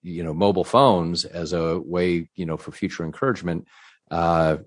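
Low-voiced male interviewer, wordy and effusive. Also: steady tone, moderate pace. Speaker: interviewer, host. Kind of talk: conversational interview.

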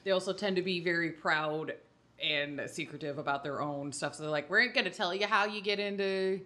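A woman's voice: lowish voice, brisk, bright, forceful tone. A Scottish woman co-hosting a casual explanatory chat about a tabletop game, talking in an irreverent, sweary, jokey way. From Scotland. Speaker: podcast co-host leading the discussion.

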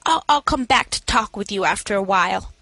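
A woman voicing a kindly middle-aged woman who runs a shelter, speaking in a gently coaxing, solicitous way. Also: medium pitch, expressive, brisk, no echo.

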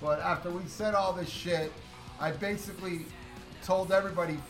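A loud-voiced man co-hosts a podcast in a brash, boastful, profane manner. His voice sits a little high and sounds roomy. Music plays behind him. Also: steady tone, normal speed. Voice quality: very loud, powerful voice. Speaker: podcast co-host. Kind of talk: casual podcast chat.

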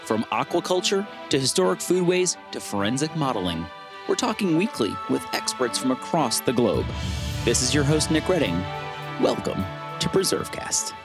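A man's voice: mid-range voice, sing-song, normal speed, music in the background. A man hosting a preservation interview podcast, chatting loosely with fillers and restarts. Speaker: podcast host. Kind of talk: casual interview chat.

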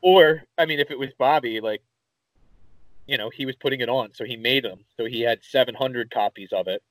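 A man's voice: medium pitch, brisk, dry recording, low-quality recording. Rambling Philadelphia man, dry close sound. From Philadelphia area, USA. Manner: rambling, animated storyteller, swears casually. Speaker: podcast co-host. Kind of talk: casual chat.

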